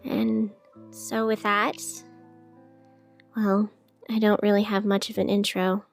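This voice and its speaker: A woman playing an earnest, heartfelt podcast host introducing a story in an intimate, deliberate monologue. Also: mid-range voice, little pitch movement, creaky. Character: earnest female radio show host, heartfelt and hesitant. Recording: music behind the voice.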